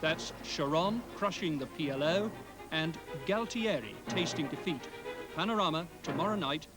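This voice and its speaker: A man with a slightly high voice reads a dramatic current affairs trailer. His short phrases are weighty and emphatic, with clear music underneath. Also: expressive, moderate pace. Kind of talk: scripted dramatic trailer voice-over.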